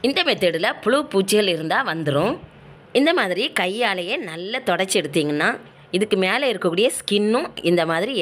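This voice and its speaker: A woman with a southern Tamil Nadu accent, narrating recipe steps as a home cook in a plain, colloquial, instructional way. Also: medium pitch. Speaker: home cook and cooking show host.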